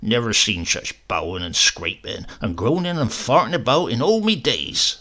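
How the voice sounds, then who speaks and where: A man's voice: medium pitch, sing-song, normal speed, bright, forceful tone. A man voicing a sly old countryman uncle, drawling and earthy, with a mock-meek air. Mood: grumbling.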